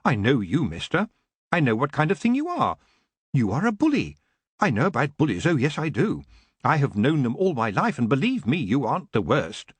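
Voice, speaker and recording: A steady, courteous young man who speaks formally and calmly, with plenty of movement in his pitch, as a man reads him. The sound is close and dry. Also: normal speed, breathy edge, no echo. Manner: calm and formally polite.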